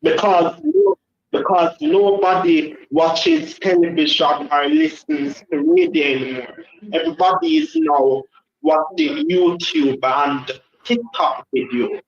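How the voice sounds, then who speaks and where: A man's voice: high voice, big pitch swings, slow, vocal fry. A man calling in to a live show, chatting casually and rambling with many hesitations. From Jamaica. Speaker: caller. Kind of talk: casual call-in chat. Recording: echoey muffled phone-in line.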